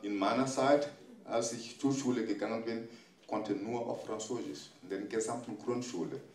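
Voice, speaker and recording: A middle-aged man speaking at a panel, not a native German speaker, halting with many fillers and restarts, holding a handheld mic. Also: on the low side, darkish tone, far from the mic. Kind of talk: panel talk. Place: large echoey conference room. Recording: echoey room, mic held off.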